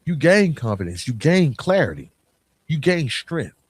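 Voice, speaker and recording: A man's voice: fairly high pitch, very animated, unhurried, husky, dry recording. A middle-aged American man giving a motivational solo podcast talk, speaking colloquially and directly to listeners with emphatic repetition and rhetorical questions. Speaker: podcast host.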